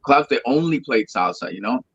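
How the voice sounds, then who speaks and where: A man's voice: mid-range voice, animated, normal speed, a bit bright, slightly rough, dry recording, lo-fi sound. A man in his thirties from Colombia, a Spanish speaker, telling his story in a rambling, restarting way as an interview guest. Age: 30s. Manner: rambling, repeats words and restarts often. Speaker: guest singer. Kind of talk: casual interview chat.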